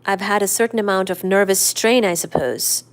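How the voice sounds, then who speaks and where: A woman voicing a tense, guarded young heiress in a storybook reading, in her own measured voice. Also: medium pitch, natural voice.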